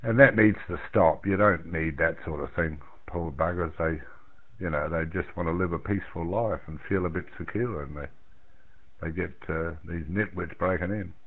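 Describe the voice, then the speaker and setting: A thin, band-limited radio transmission carries the low voice of an older Australian man. He chats casually and plainly, rambling a little and circling back over his own words. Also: normal speed, husky. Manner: rambling, plain-spoken, repeats himself. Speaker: amateur radio operator in a round-table chat. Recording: narrow, tinny radio transmission.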